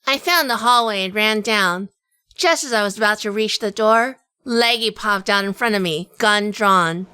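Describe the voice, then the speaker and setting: A woman playing a wry, tough female sleuth in a hardboiled noir audio drama, telling it in measured, dramatic fashion. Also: medium pitch, sharp tone, clear, resonant tone, no echo, close to an everyday voice. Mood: tense. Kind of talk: first-person noir audio drama narration.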